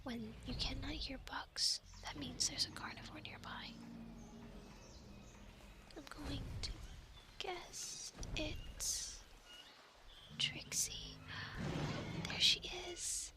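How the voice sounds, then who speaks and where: A woman's voice: high voice, drawn-out words, long pauses. A woman acting a chatty young island castaway who loves animals, in an intimate, rambling ASMR roleplay with hesitant, earnest explanations. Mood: hushed, awed whisper.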